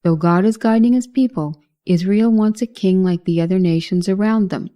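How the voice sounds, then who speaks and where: A woman narrating a scripted Bible history lesson in a measured, formal reading manner. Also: mid-range voice, mellow.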